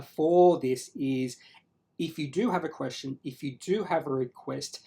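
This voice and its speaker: Halting Australian man, casual explainer. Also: fairly high pitch, creaky, a little mellow. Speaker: finance video creator and host. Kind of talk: casual explanatory talk to viewers.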